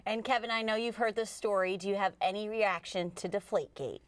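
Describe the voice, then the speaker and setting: A woman's voice: mid-range voice, steady tone, fairly quick, no echo. A woman co-hosting a sports show, presenting in a polished broadcast style.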